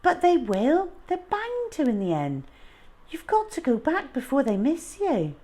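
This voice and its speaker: A curious young storybook girl, acted by a woman reading aloud, high-pitched and concerned. Her questions lilt up and down in a very animated way. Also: a little slow, exaggerated voice.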